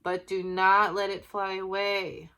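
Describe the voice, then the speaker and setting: A woman's voice: mid-range voice, completely flat delivery, a little slow, sharp tone, clean tone. Woman reading as an earnest young storybook boy. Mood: grateful. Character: young boy, kite owner, earnest and friendly.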